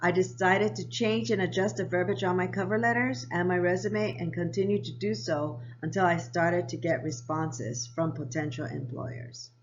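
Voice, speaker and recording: A woman of around 60, raised in Japan and Hawaii, narrating her own life story for a vlog in a measured, read-aloud way. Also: fairly low pitch, steady tone, dull audio, a little hiss or hum. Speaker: vlogger. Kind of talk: scripted personal narration.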